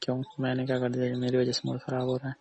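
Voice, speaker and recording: A man's voice: medium pitch, completely flat delivery, rapid-fire, mellow, close to an everyday voice. A man playing a defensive tailor who keeps explaining himself insistently to a customer in a casual phone conversation. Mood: indignant. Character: defensive male tailor arguing patiently with a customer.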